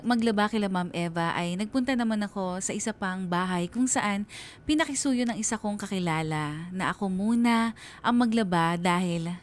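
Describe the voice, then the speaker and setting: A woman's voice: medium pitch, close to an everyday voice. Actress as an earnest Filipino single mother, music underneath. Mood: reflective. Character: struggling, devoted single mother telling her life story. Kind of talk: scripted first-person radio drama narration.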